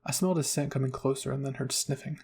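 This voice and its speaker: A man's voice: medium pitch, fairly even intonation, fast, creaky, darkish tone, slight background noise. A man narrating a scripted first-person fan fiction story as an ASMR roleplay reading.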